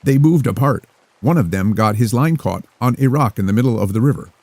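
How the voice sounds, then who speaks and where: A man voicing a calm, mysterious young woman who speaks formally and rhythmically. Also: mid-range voice, no echo. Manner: formal, rhythmic, unhurried delivery.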